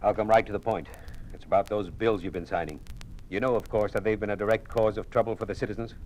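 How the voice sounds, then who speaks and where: A man's voice: lowish voice, fairly even intonation, fast, a little mellow, close to an everyday voice, poor audio. An American man acting as an outraged, ousted lieutenant governor in an old radio drama, earnest and to the point. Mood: blunt, earnest. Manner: earnest, indignant.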